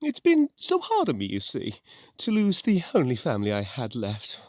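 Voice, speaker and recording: Cocky, wildly animated young gangster played by a man. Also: mid-range voice, moderate pace, dry recording, studio quality. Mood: smug, sarcastic. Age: young adult. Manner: brash, sarcastic, taunting. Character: cocky young male mafia heir, mocking and loudmouthed. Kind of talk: audio drama acting.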